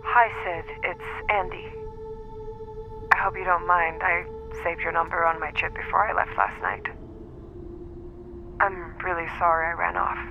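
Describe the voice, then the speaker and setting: A woman's voice: on the low side, flat delivery, measured pace, long pauses. Muffled phone voicemail, nervous young woman. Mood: apologetic, tender. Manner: hesitant, apologetic. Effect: phone voicemail filter. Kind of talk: audio drama acting, voicemail message. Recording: muffled phone voicemail under loud music.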